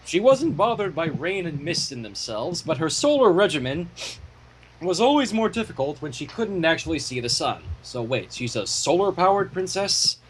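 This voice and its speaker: A man's voice: a little high, very animated, normal speed, a little hiss or hum, low-quality recording. A man hosting a fan fiction reading, delivering the story with irreverent, profane asides. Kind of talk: story reading with casual commentary.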